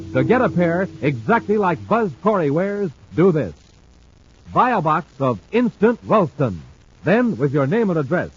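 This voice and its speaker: Booming 1950s radio announcer. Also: male voice, darkish tone. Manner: booming, showman-like, exclamatory. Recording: muffled old radio transcription with faint hiss.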